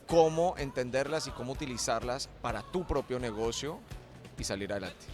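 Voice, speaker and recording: A man's voice: mid-range voice, normal speed, a little mellow. Colombian man explaining with faint music. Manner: explanatory, uses sayings and metaphors. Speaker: entrepreneur guest. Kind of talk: radio interview.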